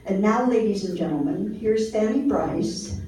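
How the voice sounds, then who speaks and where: A woman's voice: mid-range voice, animated, a little slow. A woman announcer reading a scripted introduction for a radio comedy show. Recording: very echoey, distant, faintly noisy room.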